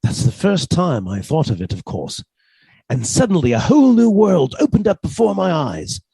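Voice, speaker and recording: An actor playing a mild, henpecked older botany professor with a halting, polite manner in a radio suspense drama. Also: male voice, a little high, big pitch swings, vocal fry, mellow, slightly rough, no room sound, heavily acted. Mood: quietly scheming.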